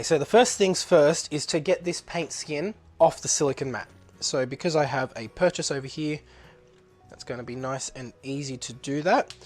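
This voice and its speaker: Congested man chatting through a craft tutorial. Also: fairly high pitch. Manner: chatty and easygoing, with frequent ums and uhs. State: congested, blocked-up nose. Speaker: resin artist demonstrating a project. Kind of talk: casual craft tutorial narration.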